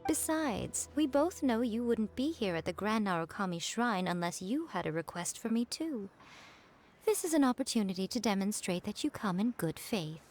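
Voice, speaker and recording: A woman playing a sly, self-assured shrine priestess in an animated game, teasing and smug in an unhurried way, over music. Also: mid-range voice, close to an everyday voice. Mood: coaxing, smug. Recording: music behind the voice.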